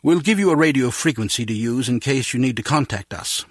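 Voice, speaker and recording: A man's voice: medium pitch, animated, fairly quick, no echo, clean studio sound, lightly acted. An actor playing a grave, courteous male intelligence chief with a formal, commanding manner in a video game. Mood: grave, measured.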